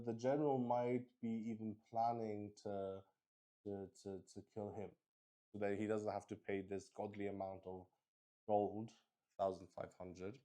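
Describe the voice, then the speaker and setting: A man with a fairly low voice plays a solo tabletop game on stream. He speaks slowly and haltingly, musing out loud as he improvises the story and works through the rules. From Luxembourg. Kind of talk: improvised game narration, thinking aloud.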